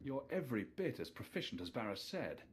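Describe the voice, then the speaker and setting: A man as an expressive, loyal young apprentice warrior. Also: medium pitch, a little fast, slight background noise, lightly acted. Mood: earnest. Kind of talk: video game voice acting.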